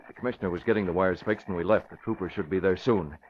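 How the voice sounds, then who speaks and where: An American actor playing a commanding, protective masked hero in an old-time radio drama, clipped and urgent. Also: male voice, low voice, quick pace, close to an everyday voice. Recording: dull, noisy old radio recording.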